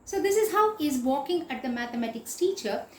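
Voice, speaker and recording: A woman's voice: high voice, expressive, brisk, a little hiss or hum. A woman teacher giving an explanatory literature lecture in a didactic, emphatic way, repeating and spelling out key words. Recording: echoey room.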